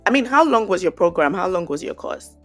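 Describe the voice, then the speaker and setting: A woman with a Nigerian accent, hosting an interview and asking questions in a relaxed, conversational way. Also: lowish voice, a little fast, soft background music. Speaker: host. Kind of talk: casual interview chat.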